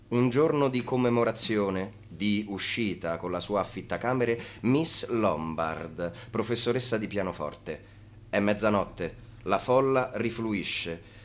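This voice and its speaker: A man narrating an Italian radio drama in terse, emphatic, dramatic phrases. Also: lowish voice.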